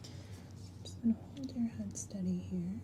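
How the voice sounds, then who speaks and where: A woman giving a soft-spoken, often whispered ASMR medical exam, gently talking through each step in the role of a clinician. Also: medium pitch, unhurried. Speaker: ASMR host playing a clinical examiner.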